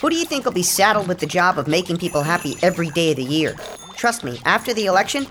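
A fretful workshop elf with a lowish voice that moves a lot. She grumbles on and on about the work ahead. This is an audio drama, with faint music underneath. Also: sing-song, fast. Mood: grumbling. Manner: complaining, worried.

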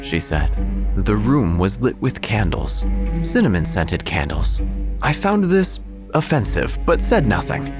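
A man reading a scripted first-person horror story, with deadpan, dryly absurd delivery. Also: on the low side, measured pace, music in the background. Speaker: narrator.

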